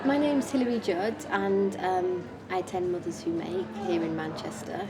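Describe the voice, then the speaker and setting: A woman artist explaining her work informally in a measured, hesitant manner. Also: on the low side, fairly even intonation, crowd noise. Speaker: artist presenting own work. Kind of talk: informal exhibition talk about own artwork. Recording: clear background noise and room ambience.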